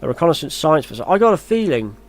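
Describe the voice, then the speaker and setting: A man's voice: fairly high pitch, very animated, quick pace. A man reading gaming news aloud as a YouTuber, then musing in a hesitant, chatty way. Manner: muses aloud, hesitant and chatty when commenting. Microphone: voice-over on a screen recording.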